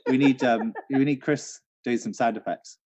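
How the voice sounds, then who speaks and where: A man's voice: medium pitch, fairly even intonation, normal speed, darkish tone. A man co-hosting a casual chat in a hesitant, agreeable way. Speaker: co-host, venue manager.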